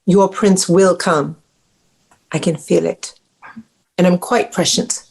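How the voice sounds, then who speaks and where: A woman's voice: on the low side, fairly even intonation, slow, vocal fry, a little mellow. A woman playing a grandiose, genteel mother and faded stage actress at a screenplay table read, with affected, formal, precise diction. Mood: airy, fond.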